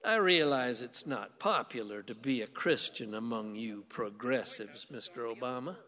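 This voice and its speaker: A man's voice: medium pitch, normal speed, pushed and bright, lightly acted. An actor playing a stubborn, sardonic older conservative man in a radio play, speaking formally and drily. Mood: dry, wary. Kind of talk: theatrical radio play dialogue. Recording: long reverberant hall sound.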